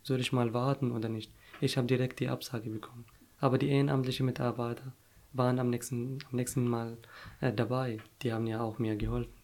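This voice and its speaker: A man's voice: mid-range voice, level tone, fast talker, darkish tone. A young man whose first language is Arabic, speaking casually in an interview with frequent restarts and fillers as he searches for words.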